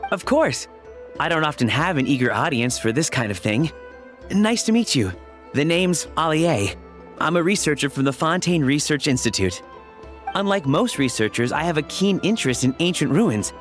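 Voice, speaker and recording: Keen game researcher, fairly high voice, courteous, precise, scholarly narration, faint music. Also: male voice, lively intonation, moderate pace, fairly bright tone. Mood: pleased. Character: keen, courteous male researcher of ancient ruins. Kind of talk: video game voice acting, scripted exposition.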